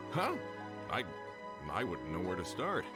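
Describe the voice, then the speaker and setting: A boastful mine contractor, all salesman swagger. His mid-range voice swoops dramatically high and low as he talks things up. Game music plays underneath. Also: wildly swooping pitch, a little slow, exaggerated voice. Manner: boastful, salesman-like, wide swings in pitch. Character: boastful mine contractor, a self-assured businessman. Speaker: requester.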